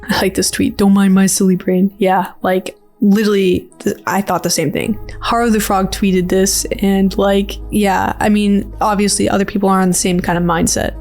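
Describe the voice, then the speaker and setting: A woman's voice: medium pitch, flat delivery, moderate pace, a little mellow, faint music behind. A woman giving casual, rambling commentary on a video game trailer in a playful, slangy, informal way. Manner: informal, playful, slangy filler-heavy speech. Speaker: video creator and host.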